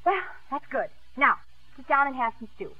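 A high-voiced young woman, spirited and cheerful, in the manner of 1950s radio drama. The vintage broadcast recording is slightly dull and muffled. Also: animated, moderate pace, no echo. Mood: plucky.

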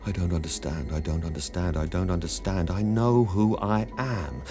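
A man's voice: lowish voice, expressive, a little mellow, faint music behind. A man doing a frightened, stammering man. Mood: panicked. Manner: halting, panicked, stammering. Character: bewildered frightened man, voice cracking.

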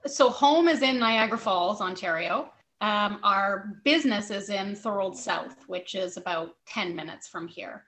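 A woman's voice: medium pitch, unhurried, hardly any pauses, a bit bright. A woman from Toronto, a guest in an interview, speaking in a friendly way with fillers. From Toronto, Canada. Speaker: bagel baker, guest. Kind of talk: casual interview chat.

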